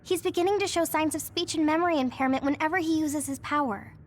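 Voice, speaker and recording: A woman's voice: sits high, fast talker, slight background noise, close to an everyday voice. A woman playing a sweet, anxious teenage girl soldier in an anime-style video game, girlish and emotive. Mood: alarmed, worried.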